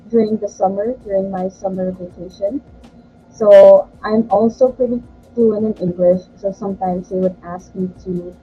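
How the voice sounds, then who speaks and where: A woman's voice: medium pitch, breathy edge, muffled recording. A young woman from the Philippines whose first language is Tagalog, a guest tutor talking earnestly over a video call. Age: young adult.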